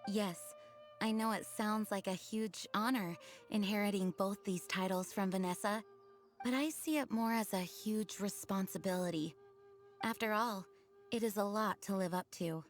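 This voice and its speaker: A woman's voice: medium pitch, moderate pace, soft background music, natural voice. A woman playing a noble, dutiful knight leader, speaking formally and solemnly in an anime video game. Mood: earnest.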